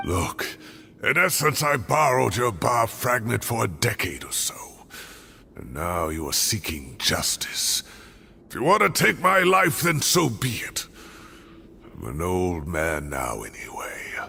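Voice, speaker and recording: A man voicing a weary but protective old professor in a video game, with a gravelly voice and a halting, earnest delivery. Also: on the low side, wildly swooping pitch, pushed and bright, full character voice. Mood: weary, regretful. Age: older. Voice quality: gravelly, creaky. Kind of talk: dramatic video game voice acting.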